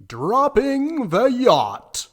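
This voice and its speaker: A man voicing a reckless, bombastic old man from a tabletop game story, with a gravelly, raspy, forceful voice. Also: extremely high pitch, wildly swooping pitch, drawn-out words, voice pushed up, heavily acted. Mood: booming, dramatic. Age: older.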